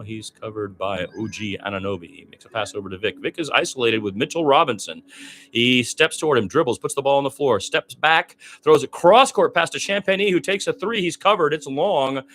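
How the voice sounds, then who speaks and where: A man's voice: mid-range voice, animated, moderate pace, fairly bright tone. A man doing live radio basketball play-by-play in a conversational, opinionated, exclamatory way.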